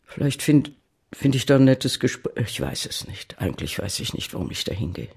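An actor playing a subdued, lonely older man with a husky, gravelly voice, muttering in a naturalistic radio drama. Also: mid-range voice, animated, a little fast, darkish tone, full character voice. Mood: downcast, pensive.

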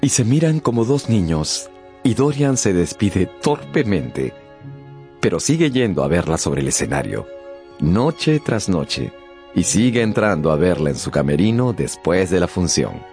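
A man narrating a radio drama as a scripted story, theatrically and with deliberate pauses. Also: deep voice, big pitch swings, darkish tone. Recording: music behind the voice.

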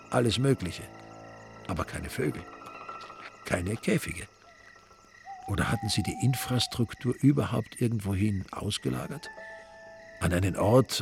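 A low-voiced Austrian man narrates a radio crime play with dry wit. His voice has a marked creak, and music plays behind the scripted narration.

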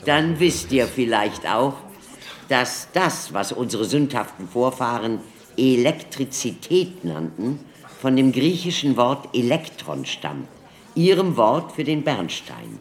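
An actor in a German radio play as a theatrical doctor who lectures an audience like a showman, declamatory and deliberate. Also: mid-range voice, sing-song. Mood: instructive.

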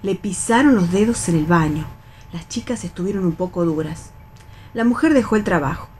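A woman as a bossy, low-voiced Argentine factory veteran. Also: animated, moderate pace, darkish tone, slight background noise, close to an everyday voice. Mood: world-weary warning. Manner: instructing, colloquial, admonishing. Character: nearly sixty veteran factory worker, bossy, resigned and wary. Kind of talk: radio theatre reading.

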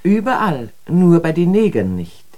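An actor playing a critical, reflective observer of city life in a radio drama, with earnest, deliberate rhetorical phrasing. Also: mid-range voice, very animated, darkish tone. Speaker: radio play speaker. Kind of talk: literary German radio drama monologue.

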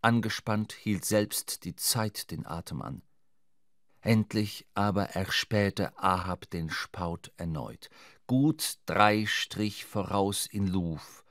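A man narrating a literary adventure for radio, with measured, suspenseful dramatic delivery. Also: on the low side, fairly bright tone, dry recording, studio quality.

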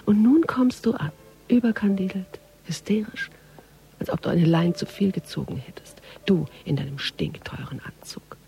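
An actress playing a sardonic, world-weary police detective in a radio crime drama, with a dry, mocking, intimate delivery. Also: female voice, mid-range voice, big pitch swings, moderate pace, gravelly, mellow. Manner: mocking, dry, half-muttered monologue.

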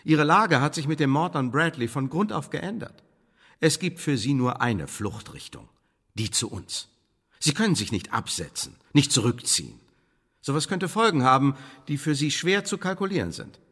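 An actor in a German radio drama playing a smooth, menacing Elizabethan English secret service chief, speaking in pompous, formal officialese with veiled threats. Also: male voice, on the high side, big pitch swings, normal speed, a bit bright, heavily acted. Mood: veiled menace. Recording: studio radio play with long reverb.

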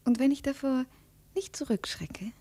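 An actress playing a proud princess, imperious yet wounded, in a theatrical 1950s radio drama style. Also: female voice, sits high, moderate pace, dark tone, husky. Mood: tender, yearning. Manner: theatrical, formal, emotionally heightened.

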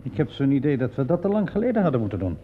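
An actor playing a calm, sceptical police inspector in a radio play, questioning in a measured, dryly ironic way. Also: male voice, mid-range voice, breathy edge. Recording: dull, muffled old radio recording.

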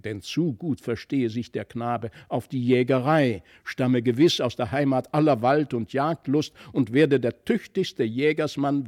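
Male audiobook narrator for classic fairy tales, expressive, somewhat creaky voice, dry studio-close sound. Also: a little high, no echo. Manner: expressive storytelling. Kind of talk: scripted literary fairy-tale narration.

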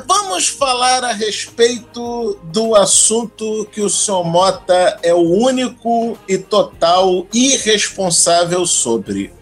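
A man's voice: sits high, fairly bright tone. A Brazilian man hosting a casual podcast conversation. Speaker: podcast host.

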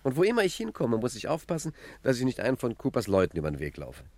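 An actor playing a cocky, cunning gentleman gangster boss with swaggering emphasis in a 1950s satirical crime radio play. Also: medium pitch, huge pitch swings, fast talker, no echo. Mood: smug.

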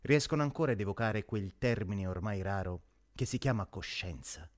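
An actor delivering a solemn radio theatre monologue as a grave, indignant moral orator. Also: male voice, on the low side, expressive, normal speed, natural voice.

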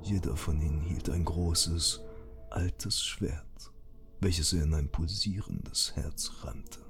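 Fantasy audio drama vision sequence: man playing haunted dreamer, deep, unhurried, ominous narration. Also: whispered, soft background music. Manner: slow, ominous. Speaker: first-person narrator.

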